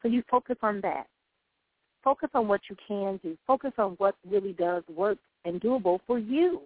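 A woman's voice: mid-range voice, expressive, moderate pace, husky, no room sound. A woman hosting a call-in radio show, talking in an encouraging, uplifting, preachy way. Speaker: host. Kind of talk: call-in talk radio, motivational. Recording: dry, tinny phone-like line.

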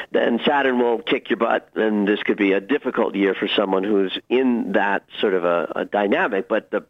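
Male astrologer guest, enthusiastic and emphatic, very lively intonation, slightly muffled recording. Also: medium pitch. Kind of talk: radio interview, conversational advice.

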